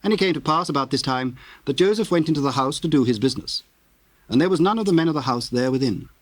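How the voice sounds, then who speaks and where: A man narrating scripture in a solemn, measured, formal way, reading from a script. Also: fairly high pitch, expressive, no echo.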